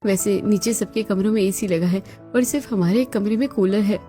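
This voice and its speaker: A woman voices a young, newly married daughter-in-law in a cartoon family drama. She is polite, dutiful and meek, with a medium-pitched voice over background music. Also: normal speed, dark tone, lightly acted. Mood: troubled.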